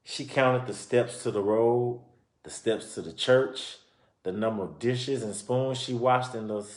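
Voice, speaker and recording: A man reading a children's book aloud and pausing to explain and comment informally to his listeners. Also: mid-range voice. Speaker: reader presenting a children's book. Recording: roomy, echoing sound.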